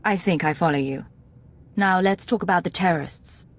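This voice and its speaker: An actress playing a guarded, no-nonsense secret agent in a video game, speaking directly and briefly. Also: female voice, fairly low pitch, normal speed, lightly acted. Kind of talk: acted video game dialogue.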